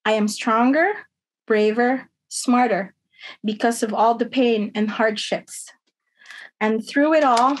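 Earnest middle-aged woman, slightly high voice. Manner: earnest, measured, slightly halting delivery. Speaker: union member. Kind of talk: personal testimony read aloud. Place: dim room at home. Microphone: webcam on a video call.

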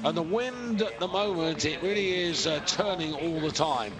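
A man giving live, measured commentary on a ski jumping competition, explaining scores and distances with pauses and fillers. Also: fairly high pitch, music in the background. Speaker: sports commentator.

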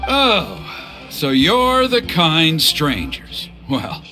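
A man's voice: fairly high pitch, wildly swooping pitch, drawn-out words, bright, forceful tone, faint music behind, heavily acted. A man voicing a stern, protective middle-aged father and tribe elder in video game dialogue. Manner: gruff, then flustered and apologetic.

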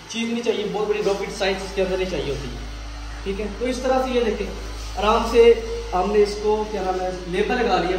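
A man in his twenties with a Pakistani accent, explaining informally as he hosts a product demonstration and sales pitch, often adding check-in tags. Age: 20s. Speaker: business video host demonstrating machinery. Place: small tiled indoor factory room. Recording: echoey tiled room, distant mic, faint noise.

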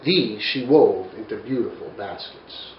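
Man in his 40s, storybook read-aloud, lively pitch, roomy slightly dull sound. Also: a little slow, slight background noise. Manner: expressive, animated storytelling. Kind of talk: children's book read-aloud. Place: home study lined with bookshelves.

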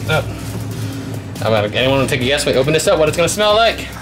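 A man's voice: on the high side, sing-song, racing, music in the background. A man in his twenties giving rambling, casual commentary to viewers while digging through a storage unit. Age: 20s. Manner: chatty, rambling, talks to viewers. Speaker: treasure-hunting vlogger. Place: indoors in a metal storage unit. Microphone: handheld camera mic.

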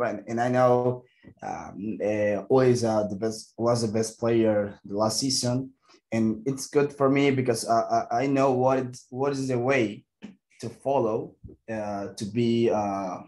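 A young man with a Mexican Spanish accent answers questions at a press conference. His English is halting, full of restarts and pauses as he reaches for the right word. His voice sits in a medium range. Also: mid-range voice, a little mellow. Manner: halting, searching for English words, many restarts. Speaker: football player.

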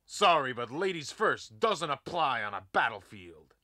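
Smug action hero, bright lively voice, wisecracks, dry recording, faint music. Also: male voice, medium pitch, measured pace, no room sound. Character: cocky male hero agent, wisecracking.

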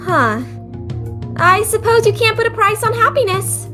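A woman's voice: very high voice, lively intonation, unhurried, over music. A woman playing an outspoken, hungry, inquisitive young tourist in a scripted audio drama. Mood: puzzled.

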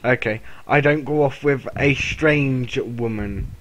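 Slow-talking Cornish man gaming. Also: medium pitch, background noise. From Cornwall. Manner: laughs often, playful and teasing. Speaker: game player. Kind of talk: casual banter while gaming.

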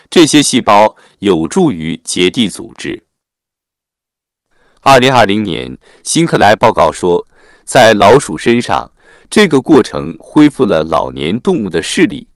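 Male narrator with a lively low voice. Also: no echo, clean studio sound. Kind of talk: scripted narration, reading an article aloud.

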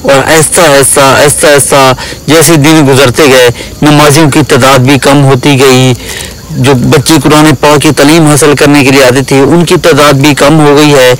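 A man's voice: on the high side, steady tone, measured pace, poor audio. A man making a spoken charity appeal in a plain, pleading manner. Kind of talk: spoken appeal describing a village mosque.

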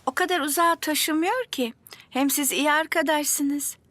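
Gentle cartoon grandma. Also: female voice, high-pitched, moderate pace, no echo. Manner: soft, reassuring, coaxing. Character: kind gentle grandmother, older woman. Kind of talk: cartoon dubbing.

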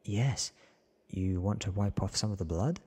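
A man's voice: on the low side, measured pace, dark tone. Man whispering as an eager teenage boy. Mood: concerned. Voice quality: breathy whisper. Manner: eager, questioning. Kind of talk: ASMR whispered storybook dialogue.

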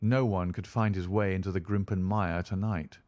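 A man's voice: sits low, fairly even intonation, moderate pace, a little mellow, lo-fi sound, natural voice. A man playing a decisive, grave Victorian detective in an audiobook, speaking in a formal, commanding manner. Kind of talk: dramatic audiobook character acting.

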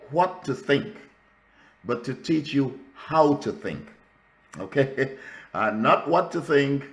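A man in his seventies from Trinidad with a Trinidadian accent, a pastor introducing himself to a class over a video call, with many pauses and fillers. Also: mid-range voice. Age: 70s. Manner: warm, unhurried with frequent fillers and restarts. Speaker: pastor and course instructor. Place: home room on a video call.

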